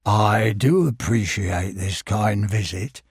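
A man voicing a gloomy old grey donkey in a storybook reading, husky, mournful and deadpan. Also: fairly low pitch, slow, no room sound, clean studio sound, voice pushed down, heavily acted.

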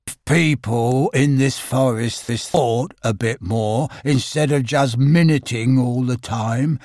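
Male reader doing a glum elderly donkey, very slow, low and sighing; dry close sound. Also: a little mellow, no echo, natural voice. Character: gloomy old male donkey, slow and mournful.

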